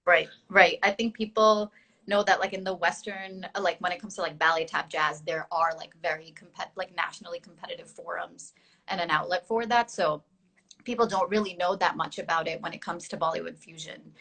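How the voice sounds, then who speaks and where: A woman's voice: lowish voice, fairly even intonation, brisk, creaky, pushed and bright. A young adult woman hosting a casual online live chat as a dance teacher, chatty and full of fillers, laughing at herself now and then.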